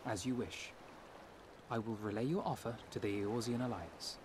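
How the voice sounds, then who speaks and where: An actor as a prudent envoy, noisy waterside. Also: male voice, fairly low pitch, normal speed, natural voice. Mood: accepting. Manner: formal, careful phrasing. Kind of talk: video game voice acting.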